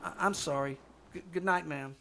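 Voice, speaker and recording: A man's voice: a little high, normal speed, creaky. A man playing an insistent but uneasy newspaper reporter in an old-time radio drama, stammering as he excuses himself. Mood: sheepish. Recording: noisy live stage recording.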